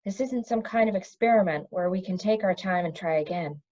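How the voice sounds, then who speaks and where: A woman's voice: on the low side, a little fast, warm tone, highs cut off, close to an everyday voice. A woman voicing an anxious, impatient young female storybook character with an urgent, questioning manner.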